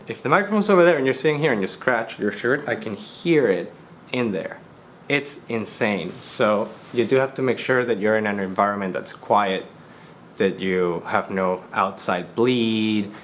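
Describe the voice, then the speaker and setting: Deep-voiced man explaining studio gear. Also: a little hiss or hum. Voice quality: deep bass-heavy voice. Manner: loose, conversational explaining with many fillers. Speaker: studio engineer. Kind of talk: gear tutorial. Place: recording studio.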